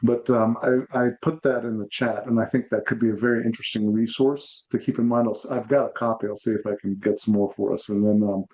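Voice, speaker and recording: A man's voice: fairly low pitch, fairly even intonation, quick pace, hardly any pauses, a little mellow. Man from Zambia, gracious and collaborative, video call, muffled lo-fi sound. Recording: dull lo-fi video call audio.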